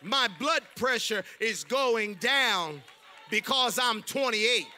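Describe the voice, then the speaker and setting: A man preaching a sermon in a church, emphatic and loud, mixing humor with exhortation. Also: very high voice, expressive, slow, a faint crowd. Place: church sanctuary.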